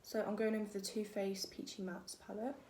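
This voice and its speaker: A young woman with a croaky voice, chatting casually through a makeup tutorial and making fun of herself as she works. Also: medium pitch, flat delivery, fairly quick, dark tone. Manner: chatty, self-deprecating, talks while working. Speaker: beauty vlogger. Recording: echoey room with faint noise.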